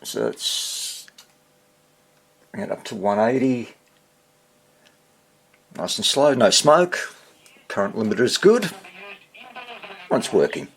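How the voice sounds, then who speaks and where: A man with a husky voice giving a casual hands-on repair demonstration, half muttering to himself as he works. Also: lowish voice, very animated, takes it slowly, long pauses. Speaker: hobbyist radio repairer.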